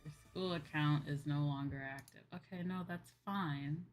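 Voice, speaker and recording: A woman's voice: deep voice, fairly even intonation, moderate pace. Exasperated woman livestreamer over background music. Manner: exasperated, dramatic, repeats phrases for emphasis. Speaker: livestreaming VTuber host. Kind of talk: casual livestream chat, ranting.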